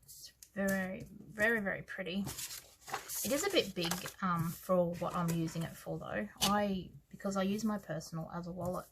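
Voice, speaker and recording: An Australian woman with a scratchy, croaky voice, chatting softly through her planner layout and trailing off into quiet asides. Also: fairly low pitch, normal speed. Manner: soft-spoken, musing, trails off into quiet asides. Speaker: planner vlogger. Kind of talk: casual planner walkthrough.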